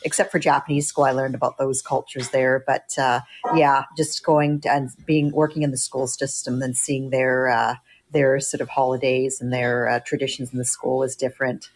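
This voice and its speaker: Canadian woman, forties, low-pitched, halting casual chat over video call. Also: flat delivery. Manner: hesitant, many fillers and restarts. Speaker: guest. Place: home room with window. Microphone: laptop camera on video call.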